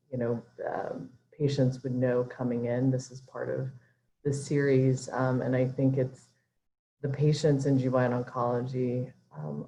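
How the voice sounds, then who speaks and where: Slow, um-filled woman on a call. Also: extremely low pitch, gravelly, darkish tone. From Alabama. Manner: friendly, many ums, upbeat. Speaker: gynecologic oncologist. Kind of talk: self-introduction on video call. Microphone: video call. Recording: dull lo-fi video call audio.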